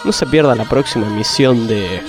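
A man's voice: mid-range voice, fairly quick, lightly acted. An Argentine man playing a melodramatic announcer closing a parody radio serial. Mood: dramatic, rapid. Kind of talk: parody radio drama narration. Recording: music behind the voice.

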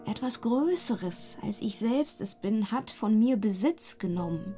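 A woman playing a young, impressionable opera singer, enraptured and fearful, in a breathy, intimate radio drama style. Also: mid-range voice, animated, measured pace, faint music behind, lightly acted. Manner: breathy, intimate, slipping into hushed whispers. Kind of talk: German radio drama acting.

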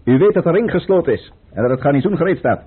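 An actor playing a scheming, controlled count and royal adviser with a measured, formal manner in a radio play. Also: male voice, a little high, animated, slightly rough. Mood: resolute. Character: scheming, controlled male count advising the tsar, secretly tormented. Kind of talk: Dutch radio play acting. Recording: tinny, muffled old radio play recording.

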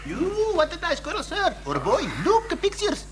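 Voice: very high voice, wildly swooping pitch, moderate pace, crowd noise, heavily acted. An actor playing a pushy street tout with wheedling, repetitive patter in broken, English. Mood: wheedling, insistent. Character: pushy Thai street tout hawking sex services to tourists.

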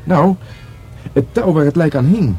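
A man's voice: a little high, animated, measured pace, mellow, faint music behind. An actor playing a deferential younger police detective in a radio drama, tentative and earnest, repeating words as he reasons. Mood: thoughtful. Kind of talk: Dutch radio drama dialogue.

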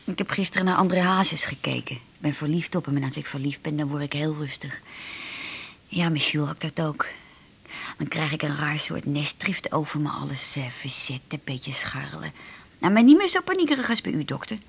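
An actress playing a scatterbrained, lonely woman in her forties in a radio drama, with a husky voice and a rambling, self-deprecating, colloquial way of talking. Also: low-pitched, lively intonation, fairly quick, full character voice. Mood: dreamy, chatty. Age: 40s. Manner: rambling, colloquial, tag questions. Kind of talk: naturalistic radio drama dialogue.

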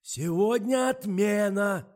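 A man doing a gruff, exasperated old guard. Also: a little high, lively intonation, slow pace, voice pushed up, full character voice. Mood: yelling. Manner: shouting, exasperated.

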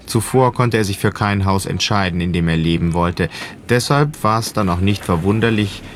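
Low-voiced man narrating a documentary. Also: moderate pace.

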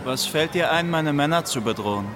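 A man's voice: on the high side, expressive, a little fast, natural voice. Man as an arrogant, indignant young officer. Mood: indignant, threatening. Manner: stern, indignant. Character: arrogant young army officer threatening an enemy. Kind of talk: German radio drama acting.

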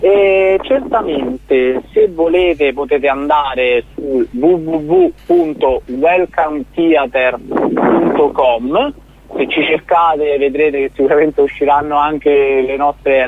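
Guy calling into a radio show, earnest, explains stuff, kinda high voice, thin phone sound. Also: expressive, takes it slowly. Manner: explanatory, earnest, with frequent restarts. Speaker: startup founder, guest. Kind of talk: radio interview over the phone. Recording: tinny dry phone line.

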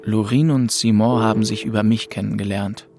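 A man's voice: on the low side, steady tone, normal speed, vocal fry. A man acting a melancholy, drifting twenty-two-year-old who narrates his travels introspectively in a literary radio drama. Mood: brooding, reflective. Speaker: narrator and lead actor. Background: music under the voice.

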